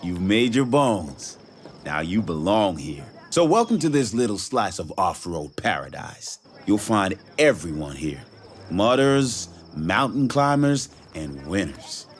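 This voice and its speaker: A man's voice: sits low, big pitch swings, takes it slowly, a little hiss or hum, full character voice. Cocky, swooping off-road racing mentor guy. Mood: welcoming. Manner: swaggering, informal, teasing. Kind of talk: video game cutscene acting.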